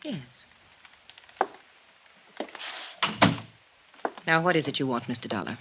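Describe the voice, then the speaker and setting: A woman's voice: very deep voice, animated, rapid-fire, fairly bright tone, slight background noise, heavily acted. A woman playing a composed, guarded middle-aged estranged wife, formal and frank, in a 1950s radio drama. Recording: muffled 1950s radio recording.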